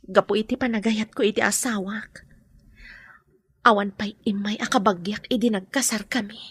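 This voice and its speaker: A woman playing a gentle, burdened mother in an Ilocano radio drama. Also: mid-range voice, steady tone, moderate pace, breathy edge. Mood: sorrowful.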